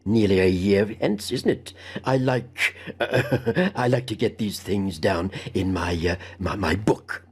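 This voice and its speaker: A man voicing an eager, absent-minded elderly gentleman who stammers, repeats himself and chuckles in a storybook reading. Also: on the low side, animated, takes it slowly, husky, heavily acted. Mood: eager, fussy. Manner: stammering, repetitive, hemming and chuckling.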